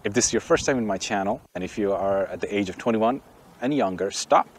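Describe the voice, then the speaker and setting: A man's voice: on the low side, brisk. A man from Bangladesh hosting a casual, joking car review vlog outdoors, with wind around him. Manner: chatty, joking, opinionated. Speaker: car channel host. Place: outdoors by a vehicle, windy. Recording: faint wind and outdoor noise. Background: wind and outdoor noise.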